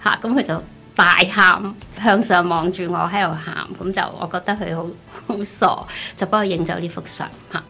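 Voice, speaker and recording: A middle-aged woman with a Hong Kong Cantonese accent, giving a personal testimony in an expressive, conversational storytelling way. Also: lowish voice, normal speed. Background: background music.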